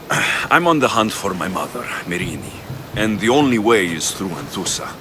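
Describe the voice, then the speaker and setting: A man's voice: lowish voice, big pitch swings, unhurried, noisy background. A man playing a blunt, confident young mercenary in dramatic video game dialogue. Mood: grave, determined. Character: blunt, confident male Spartan-born mercenary.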